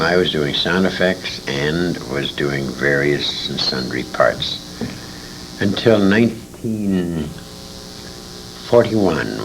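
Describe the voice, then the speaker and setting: Very deep-voiced older man reminiscing. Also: creaky. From born in England, grew up in Detroit, USA. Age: 60s. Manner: emphatic, detailed anecdotal telling with asides. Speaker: veteran radio actor interviewed. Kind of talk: reminiscing interview storytelling. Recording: noisy 1980s convention interview recording.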